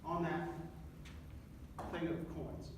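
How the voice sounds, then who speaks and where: A man's voice: a little high, monotone, slow. A man in his fifties giving a casual lunch talk to a small group, chatting and asking questions. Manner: friendly, asks the audience questions. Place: meeting room. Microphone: distant room mic. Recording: echoey room from far off, noisy.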